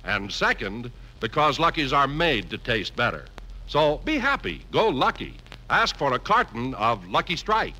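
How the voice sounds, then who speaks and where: A man's voice: mid-range voice, sing-song, measured pace, background noise. An American man announcing in a scripted 1950s radio style as a program announcer.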